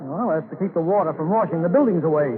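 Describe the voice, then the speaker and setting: A man's voice: on the high side, fast talker, natural voice. An actor playing a folksy, eager gold-rush townsman in 1930s radio drama, with rustic, ungrammatical speech. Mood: earnest, insistent. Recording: tinny, band-limited old radio recording.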